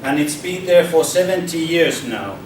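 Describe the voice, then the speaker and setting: A man from Finland talks to an audience in a large, heavily echoing hall, heard from across the room. He speaks hesitantly, repeating words and restarting his sentences. A steady noise sits under his voice. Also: a little high, normal speed. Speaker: speaker at a public debate. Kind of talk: informal public talk. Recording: echoey hall from far off, noisy.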